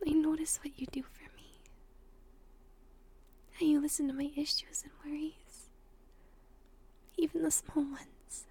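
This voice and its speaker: A woman playing a tender, emotional young girlfriend in an intimate roleplay audio drama, speaking softly and breathily, often in whispers, with affection. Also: high-pitched, level tone, a little slow, long pauses. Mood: hesitant, tender confession.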